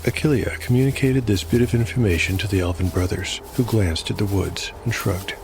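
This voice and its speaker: A man narrating a solo fantasy role-playing show as game master, in a measured, explanatory scripted style. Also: fairly low pitch, a little hiss or hum. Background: music.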